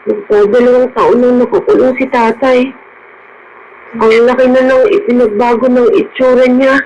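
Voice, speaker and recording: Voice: medium pitch, little pitch movement, normal speed, a little mellow, highs cut off, lightly acted. An actor with a Filipino accent playing a sad, devoted child of a prisoner in a radio drama. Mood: sad, worried. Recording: radio drama with faint noise.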